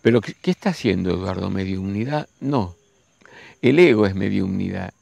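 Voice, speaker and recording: A man, giving a slow, musing spiritual monologue and speaking straight to his listeners. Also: sits low, expressive, vocal fry, darkish tone, dry recording. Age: 60s. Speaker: spiritual teacher.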